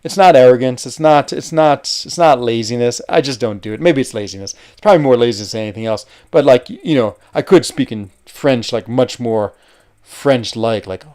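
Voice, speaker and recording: Young American male streamer: resonant, mid-pitched, casual and rambling. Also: normal speed, darkish tone. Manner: rambling, chatty, hesitant with fillers. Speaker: gaming livestream host. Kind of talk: casual live-stream chat while playing.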